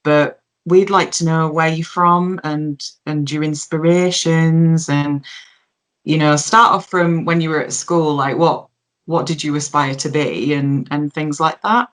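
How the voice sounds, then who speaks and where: A woman's voice: low voice, fairly even intonation, unhurried. A woman conducts a conversational interview. She phrases her questions loosely, hesitating and rambling a little as she goes.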